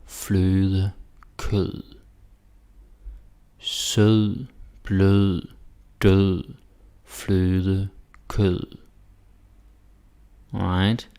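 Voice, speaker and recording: A man with a Danish accent teaching pronunciation in a casual way, repeating words slowly for learners. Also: low-pitched, gravelly, darkish tone. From Denmark. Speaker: language teacher. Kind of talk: pronunciation lesson.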